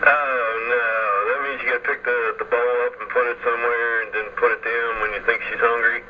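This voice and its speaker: A man's voice: medium pitch, little pitch movement, fairly quick. A man from Pennsylvania in casual chat, rambling and repeating himself in an easygoing way. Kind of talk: casual chat over radio link. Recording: dull lo-fi radio audio with clear noise.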